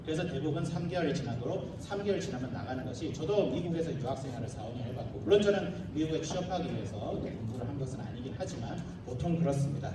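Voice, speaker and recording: A man's voice: on the high side, animated, quick pace, few pauses. A man giving a formal slide presentation at a school briefing, explaining things with informal humorous asides. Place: large echoey room, live audience. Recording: roomy echoing hall, distant mic, clear noise.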